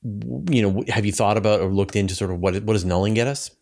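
A man's voice: lowish voice, quick pace, vocal fry, darkish tone. A middle-aged man hosting a conversational science interview over a video call, thinking aloud as he builds long questions. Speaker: interview host. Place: indoor room with shelves, video call.

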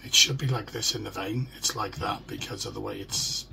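A middle-aged British man with a hoarse, croaky voice, talking haltingly and in a downbeat way in a casual personal video diary. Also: on the low side, fairly even intonation. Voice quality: croaky, gravelly. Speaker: vlogger. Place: small furnished room at home. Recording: noisy home phone or webcam recording.

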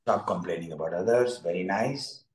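A man's voice: low voice, normal speed, darkish tone. A middle-aged man with an Indian accent teaching through improvised storytelling, repeating phrases for emphasis in an encouraging way. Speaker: coach telling a moral story to students. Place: indoor furnished room with curtains, echoey. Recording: echoey room sound.

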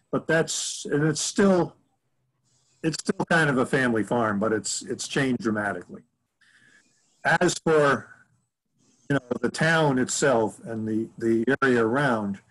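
A man in his seventies reminiscing casually on a video call from home, rambling and halting as he corrects himself. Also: mid-range voice, poor audio. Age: 70s. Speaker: author answering audience questions. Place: room at home. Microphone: computer mic on a video call.

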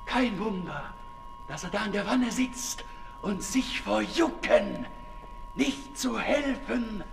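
An actor in a 1960s German radio play, playing a mocking, declamatory denouncer who sneers with mock learning. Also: male voice, sits high, lively intonation, takes it slowly, gravelly, heavily acted. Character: mocking male denouncer jeering at a scientific dilettante. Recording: reverberant 1960s radio recording with music.

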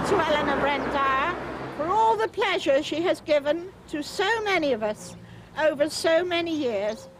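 A woman's voice: high voice, fairly bright tone. An older English woman in her seventies speaking informally and telling anecdotes in a chatty way. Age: older, 70s. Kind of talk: informal public address and reminiscence. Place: outdoors on a street among onlookers. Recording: noisy outdoor recording with crowd and ambience.

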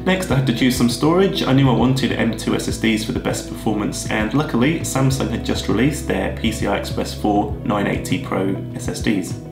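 A man, husky from a head cold, walking through his tech choices in a steady, methodical way. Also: fairly low pitch, brisk, hardly any pauses. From Australia. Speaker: video creator. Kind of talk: scripted tech vlog explanation. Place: plain room indoors, seated at a desk. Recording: roomy echo with music behind.

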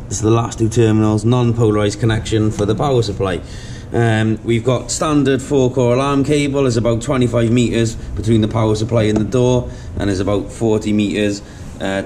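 A man's voice: medium pitch, warm tone. Man demonstrating, noisy room recording. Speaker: product demonstrator. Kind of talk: informal how-to product demonstration. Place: indoor showroom at a demonstration table.

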